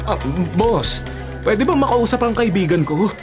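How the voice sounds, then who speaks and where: A woman playing an anxious, loyal young friend with a Filipino accent, in dramatic, halting radio drama acting. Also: medium pitch, expressive, lightly acted. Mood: worried, disbelieving. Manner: dramatic, halting when distressed, breathless exclamations. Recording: loud dramatic music behind. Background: loud music.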